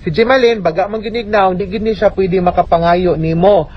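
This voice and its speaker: A woman's voice: mid-range voice, fairly even intonation, normal speed, husky. A woman with a Cebuano accent giving legal advice as a radio host, explaining things in an emphatic, conversational way. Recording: dull radio recording, faint noise. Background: faint noise.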